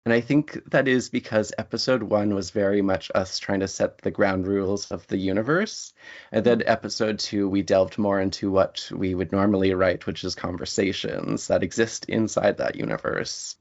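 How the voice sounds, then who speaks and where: A man speaking as a guest writer in an interview, with an articulate, measured and fairly formal way of talking. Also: sits low, vocal fry, dull audio. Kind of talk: interview conversation.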